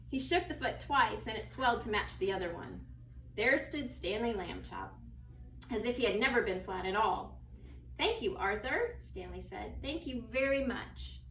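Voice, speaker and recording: A woman's voice: fairly high pitch, normal speed, fairly bright tone. Woman reading a storybook in an echoey room. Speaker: second grade teacher. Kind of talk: children's story read-aloud. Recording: echoey, distant mic, faint noise.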